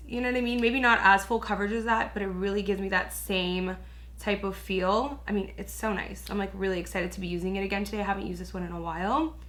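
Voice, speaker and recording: A young woman chatting through a makeup tutorial with a raspy, hoarse voice, gushing and peppering her talk with filler words. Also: mid-range voice, bright, forceful tone. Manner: gushing, chatty, lots of "like" and "you guys". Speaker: beauty vlogger. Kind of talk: casual makeup tutorial chat.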